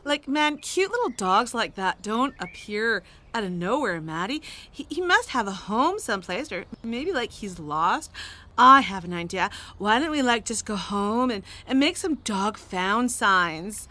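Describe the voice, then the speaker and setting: A woman's voice: medium pitch, very animated, unhurried, bright, forceful tone. A woman playing a laid-back hippie mom in an audio drama, hesitant and full of slangy filler. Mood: easygoing. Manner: hesitant, peppered with "like" and "man". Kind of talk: audio theatre acting.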